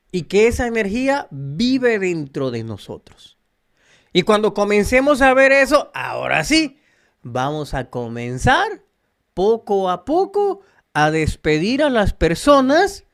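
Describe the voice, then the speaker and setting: A man from El Salvador with a Salvadoran Spanish accent, giving an earnest, preachy spiritual monologue straight to his viewers. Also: sits high. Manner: earnest, preachy, addresses listeners directly. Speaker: spiritual channel host.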